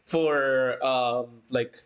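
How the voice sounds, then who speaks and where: A man hosting a casual livestream chat, talking informally in a halting way and often repeating himself. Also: medium pitch, steady tone, a bit bright, lo-fi sound. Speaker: livestream host.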